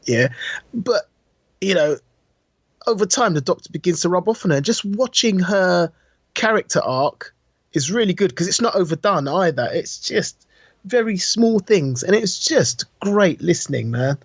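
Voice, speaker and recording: A man's voice: high-pitched, expressive, measured pace, breathy edge, no room sound. A man chatting casually and enthusiastically as a podcast co-host, with informal, emphatic delivery. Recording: dull, muffled lo-fi audio.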